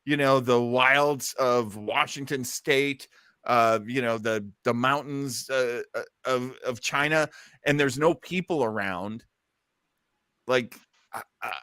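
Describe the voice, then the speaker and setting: A man hosting a casual online discussion, musing in a halting way as he works out his thoughts. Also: medium pitch, sharp tone, clean tone, no room sound, clean studio sound. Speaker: host of online listening party.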